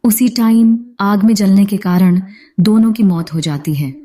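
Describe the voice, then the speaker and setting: A woman's voice: medium pitch, unhurried, dark tone. A woman narrating a scripted horror story as its storyteller.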